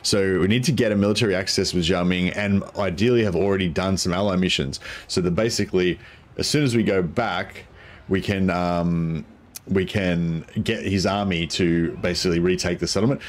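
A man, a croaky voice and a headset, chatting casually while streaming a strategy game, rambling with many ums. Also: deep voice, fairly even intonation, normal speed. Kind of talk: casual gameplay commentary.

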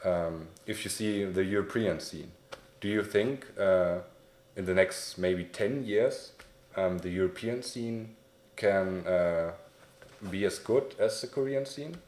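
A man's voice: sits low, takes it slowly, a little mellow. A young man with a German accent interviewing a guest in English, friendly and hesitant with many fillers. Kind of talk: casual interview. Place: small indoor room, sitting on a couch. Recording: echoey room.

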